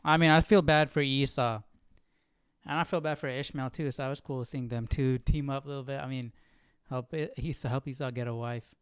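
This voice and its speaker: A man hosting a livestream with casual reaction commentary, haltingly thinking aloud. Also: medium pitch, steady tone, smooth, no room sound, clean studio sound. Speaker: livestream host.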